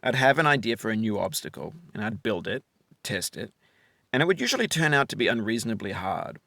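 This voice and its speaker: Expressive man narrating, close and dry. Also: on the low side, creaky, dry recording. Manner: measured, philosophical, articulate. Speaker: game developer narrating his own game. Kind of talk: reflective scripted narration, essay-like.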